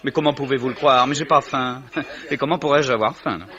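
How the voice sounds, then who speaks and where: An actor playing a giddy, lovestruck and polite young gentleman, effusive and dreamy, in the style of 1950s French radio. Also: mid-range voice, fairly quick, a crowd in the background, close to an everyday voice. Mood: elated. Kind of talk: 1950s French radio comedy-drama acting. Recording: muffled vintage radio, background restaurant bustle.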